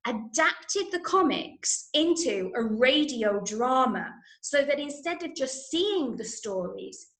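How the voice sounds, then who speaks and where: A woman from Manchester with an English accent, teaching an online drama lesson to children in an encouraging, clearly explained way. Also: high-pitched, a bit bright. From Manchester, England. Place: indoor room, online call. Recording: echoey room.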